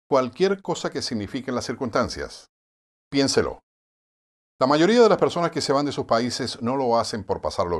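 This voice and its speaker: A man with a Puerto Rican accent narrating a news commentary review of the year, in a wry, sardonic editorial tone. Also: medium pitch. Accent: Puerto Rican Spanish.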